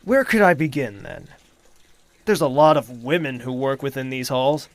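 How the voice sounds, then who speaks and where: An actor playing a weary, suspicious male royal in an audio drama, speaking and narrating in a measured, brooding way. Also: medium pitch, lively intonation, no room sound, natural voice. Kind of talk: audio drama acting and first-person narration.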